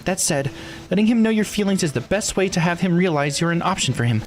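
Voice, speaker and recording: A man voicing an earnest, expressive young character in a visual novel, talking in an animated, conversational way. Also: high-pitched, fast talker, a little hiss or hum. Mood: earnest encouragement.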